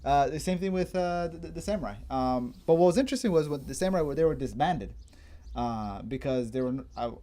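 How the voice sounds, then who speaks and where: A man in a casual chat, explaining history as a guest in an informal, digressive way, as if thinking out loud. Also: a little high, animated, fast talker. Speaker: guest martial arts enthusiast explaining history.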